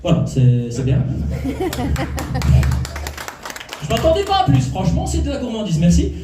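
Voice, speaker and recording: A man's voice: sits high, huge pitch swings, moderate pace, loud music behind the voice. A man doing a stand-up routine on a club stage, teasing the crowd and working the audience. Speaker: stand-up comedian. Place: comedy club stage before a live audience. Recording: echoey room, from far off.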